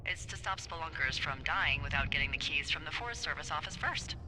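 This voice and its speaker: A woman's voice: deep voice, animated, fairly quick, noisy background. A woman playing a wry, teasing fire lookout supervisor in naturalistic video game dialogue, playful and sarcastic. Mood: dry, playful. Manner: playful, sarcastic, hesitant when earnest.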